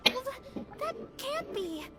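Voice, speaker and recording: A woman voicing an earnest, naive young church sister in an animated game, hesitant and easily flustered. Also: very high voice, slow pace, over music, heavily acted. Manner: hesitant, pleading.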